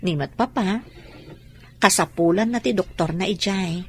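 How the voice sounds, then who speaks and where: An actress playing a gentle, wry young wife in a radio drama. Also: female voice, mid-range voice, measured pace, a little hiss or hum, natural voice. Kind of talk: Ilocano radio drama acting.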